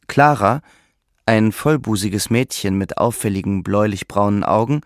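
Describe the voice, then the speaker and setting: A male narrator reads literary prose in a fairly low voice with a pronounced creak. The sound is close and dry, as in an audiobook. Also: darkish tone, dry recording.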